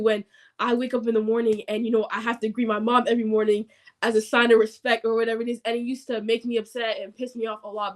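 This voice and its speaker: A woman's voice: fairly high pitch, a little mellow. A teenage girl hosting a casual podcast interview, hesitant and full of ums and likes. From Nigerian family background. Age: teens. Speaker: podcast host.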